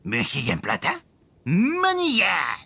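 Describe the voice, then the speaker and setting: Gravelly goblin creature, sharp barky gibberish, wild swoops, bit of noise. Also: male voice, high-pitched, huge pitch swings, takes it slowly, heavily acted. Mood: excitable. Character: brutish masked goblin-like monster, gibberish-speaking. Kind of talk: video game creature voice acting.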